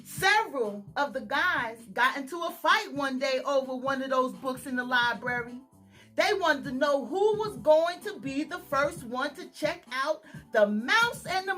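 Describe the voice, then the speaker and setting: Slow, bright-voiced woman reading a story. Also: high voice. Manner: expressive, chatting with young listeners. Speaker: storytime reader. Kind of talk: children's story read-aloud.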